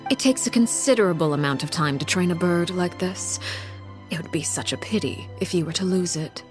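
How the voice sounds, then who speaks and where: An actress playing a poised, coolly menacing aristocratic diplomat in a video game, speaking in a formal, measured manner. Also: female voice, on the low side, in a large hall, close to an everyday voice. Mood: veiled menace. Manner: formal, measured, veiled threats. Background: music.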